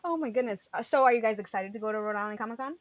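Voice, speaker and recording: A woman's voice: on the high side, rapid-fire, no echo. A woman co-hosting a casual panel chat in a playful, upbeat way. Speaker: co-host.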